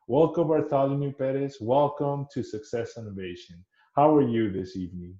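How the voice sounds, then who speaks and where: A man's voice: medium pitch, moderate pace, mellow, echoey room, dull audio. A man hosting an interview podcast, with a formal, earnest way of speaking that often repeats words. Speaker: podcast host.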